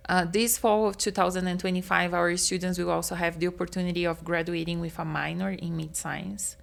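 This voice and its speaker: Gravelly woman explaining calmly. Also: fairly low pitch, fairly quick. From Brazil. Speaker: meat science professor, guest. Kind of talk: interview answers.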